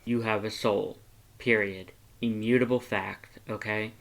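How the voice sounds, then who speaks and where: A man voicing a laid-back, gentle skeleton monster with a casual, understated way of talking, in a storybook reading. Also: fairly low pitch, level tone, a little slow. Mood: patient, earnest.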